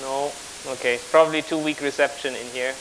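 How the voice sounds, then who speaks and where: A man with a bright, forward voice gives a live technical demonstration to a conference. He talks haltingly, improvising as he works, at a middle pitch. There is a faint hiss behind him. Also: steady tone, low-quality recording. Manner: halting, improvising while working at a laptop. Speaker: conference speaker. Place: large auditorium on stage with audience.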